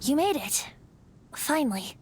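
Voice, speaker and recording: A woman's voice: high voice, big pitch swings, unhurried. A woman voicing a youthful male bard who is really an ancient wind spirit, carefree and breezy, in a video game. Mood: sheepish. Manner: breezy, storytelling. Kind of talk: video game character acting.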